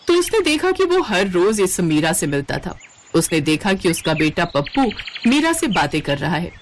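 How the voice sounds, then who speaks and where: A woman's voice: lowish voice, sing-song, normal speed, a little hiss or hum. A woman reading scripted narration for a cartoon story.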